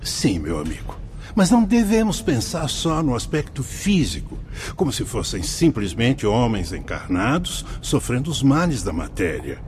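A Brazilian man playing an earnest, kindly spirit guide in a radio drama, speaking in a formal, measured, instructive way. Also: medium pitch, huge pitch swings, a little mellow, a little hiss or hum. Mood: grave, reassuring. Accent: Brazilian Portuguese.

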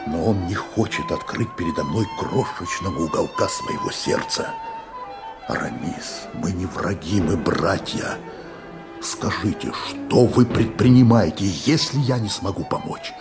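Loyal old-style musketeer captain, deep voice, proud and earnest radio drama, music behind. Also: male voice, wildly swooping pitch, unhurried, heavily acted. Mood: earnest, wounded. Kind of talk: radio play acting.

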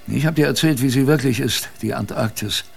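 An actor playing an old grandfather and polar expedition survivor, telling his tale tenderly and proudly in a radio drama, with reflective pauses. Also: mid-range voice, gravelly, faint music behind, close to an everyday voice. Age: older.

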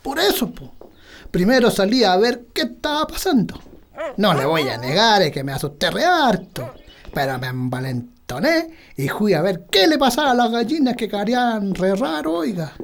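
A man's voice: high voice, big pitch swings, normal speed, gravelly, heavily acted. A man playing a stubborn, boastful old farmer in a radio drama, with a rural Chilean accent and folksy country speech. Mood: shaken, earnest.

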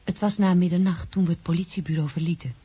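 Very breathy, soft young woman. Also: on the low side, steady tone, fast. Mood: hushed. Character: pregnant young woman, sincere, shaken yet resolute. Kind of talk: literary radio drama narration.

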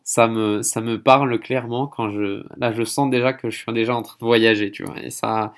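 A man's voice: mid-range voice, steady tone, moderate pace. A man hosting a casual conversational interview, speaking in a rambling, hesitant way. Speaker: podcast host interviewing a guest.

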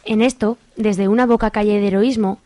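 A woman's voice: medium pitch, fairly even intonation, brisk, dark tone, no room sound. A woman narrating a scripted comic radio play as a storyteller.

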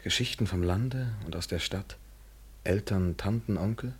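Radio drama lover, male: very deep, husky, ironic, measured, world-weary but tender. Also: full character voice. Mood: wry, reflective. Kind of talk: literary German radio play acting, 1970s.

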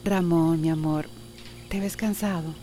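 An actress playing a gentle, affectionate wife with a Central American accent, in a radio soap opera. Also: female voice, deep voice, fairly even intonation, measured pace, slight background noise. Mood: tender, teasing.

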